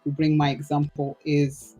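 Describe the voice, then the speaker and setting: A woman's voice: low voice, completely flat delivery, normal speed, dark tone, breathy edge, faint music behind, low-quality recording. A woman from Portugal with a Portuguese accent, a podcast host who speaks English as a second language, laughing often and searching for words. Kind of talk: casual interview chat.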